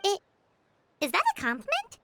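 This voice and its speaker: A woman voicing a small childlike flying fairy companion in a video game, excitable and blunt. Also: extremely high pitch, moderate pace, slight background noise, exaggerated voice. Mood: indignant, cheeky. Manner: childlike, excitable, refers to self by name. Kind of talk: video game character acting.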